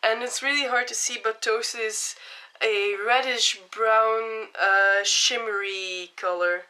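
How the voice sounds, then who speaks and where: Bright-toned woman with a cold. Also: on the high side, steady tone, takes it slowly. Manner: chatty, explains each step plainly. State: voice hoarse from a cold. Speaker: makeup tutorial host. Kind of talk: casual makeup tutorial.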